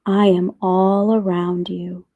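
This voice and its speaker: Storybook deity played by a woman: calm, all-present, reassuring, soft-spoken and soothing, very unhurried. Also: on the low side, phone-quality sound.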